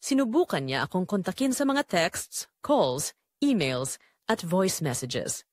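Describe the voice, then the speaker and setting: A woman with a Filipino accent playing a betrayed, resolute daughter, narrating her story in a solemn, deliberate, emotional way. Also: fairly low pitch, very animated, a little mellow, no room sound. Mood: hurt, somber. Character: Clarice, betrayed daughter and wife, wounded, resolute. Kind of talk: first-person dramatic scripted narration.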